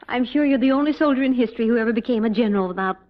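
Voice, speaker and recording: An actress playing a devoted, gently teasing army officer's wife in mid-century dramatic style. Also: female voice, a little high, close to an everyday voice. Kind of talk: mid-20th-century American dramatic acting. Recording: dull old radio-era drama recording.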